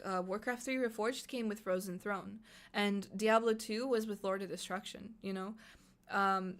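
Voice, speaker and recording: Young woman, Russian native speaker, live streamer, chatty and excitable, lots of fillers. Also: mid-range voice. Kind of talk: live reaction stream, reading chat.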